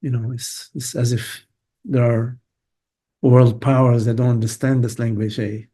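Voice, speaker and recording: An older man from Lebanon, a spiritual teacher giving a meandering, halting satsang talk over a video call. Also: medium pitch, flat delivery, dark tone, husky. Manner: meandering, halting, many fillers.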